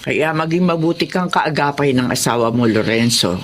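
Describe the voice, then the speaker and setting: Radio drama role: older, sympathetic mother, animated intonation, faint noise behind. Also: extremely low pitch, moderate pace. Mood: sympathetic, advising. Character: sympathetic older mother, advising her grown son. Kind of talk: Tagalog radio drama acting.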